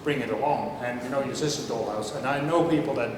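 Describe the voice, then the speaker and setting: A man's voice: medium pitch, fast, recorded from a distance. A man, giving a chatty, self-deprecating talk and putting questions to a live audience. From Netherlands. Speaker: speaker at a science talk night. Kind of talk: casual public talk with audience banter. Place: large hall before a live audience. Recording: echoey hall, mic from afar, noisy.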